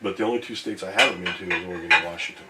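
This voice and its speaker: A laid-back man with a lowish voice talks casually over breakfast and swears freely. He sits away from the mic in an echoey room, with dishes and cutlery clattering behind him. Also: very fast, recorded from a distance, heavy background noise. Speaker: former long-haul truck driver.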